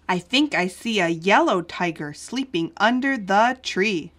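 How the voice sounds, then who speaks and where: A woman's voice: medium pitch, animated, fairly bright tone. Woman reading test lines carefully. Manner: careful, slow, well-articulated reading. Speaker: dialogue reader. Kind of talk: scripted English listening test dialogue.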